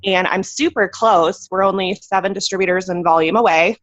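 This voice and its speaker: A woman's voice: mid-range voice, brisk, no room sound. A woman chatting on a video call from an odd corner of her home, upbeat, with lots of fillers and laughs. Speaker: team leader on a call. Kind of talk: casual video call chat. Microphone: computer mic on a video call. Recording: dull, lo-fi call audio.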